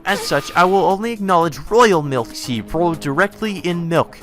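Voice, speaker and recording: A man voicing a haughty, spoiled young woman with prim, formal diction and a sharp temper, in a visual novel. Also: sits high, expressive, normal speed, soft background music, pitched above the performer's own voice. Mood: haughty, lecturing. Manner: prim formal diction that snaps into foul-mouthed commands.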